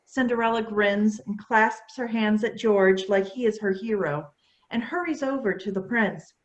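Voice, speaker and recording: A woman's voice: medium pitch, moderate pace, dull audio. A woman reading scripted stage directions as narrator of a staged play reading.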